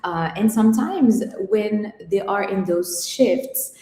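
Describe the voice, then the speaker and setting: A woman from Morocco speaks in a mellow, relaxed tone, measured and reflective, pausing often as she answers questions. Her voice sits in an echoey room with a long reverb tail. She talks as a guest on an interview. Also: mid-range voice. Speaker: guest image consultant.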